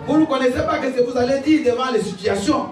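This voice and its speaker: A male pastor, preaching a sermon, shouting his key points and laughing through his stories. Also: very high-pitched, quick pace, soft background music. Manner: exhorting, laughing asides, shouted declarations. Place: church. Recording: echoey church hall, picked up from a distance. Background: congregation responding.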